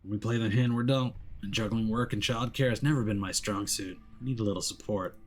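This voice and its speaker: Man playing a low, sardonic weary detective. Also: steady tone, fairly quick, lightly acted. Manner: terse, dry, sardonic. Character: gruff, weary, sardonic male paranormal detective. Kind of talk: visual novel character voice.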